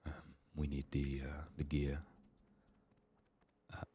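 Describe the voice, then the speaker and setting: A man doing soft-spoken, often whispered ASMR game commentary, muttering to himself with a voice strained by illness. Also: a bass voice, completely flat delivery, takes it slowly, husky. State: sick, resting a strained voice. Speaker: video game streamer.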